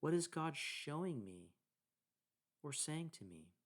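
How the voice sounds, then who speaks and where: A man leading a guided meditation, speaking deliberately in short phrases with pauses between them. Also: a little high, sing-song. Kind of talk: guided meditation narration with scripture reading.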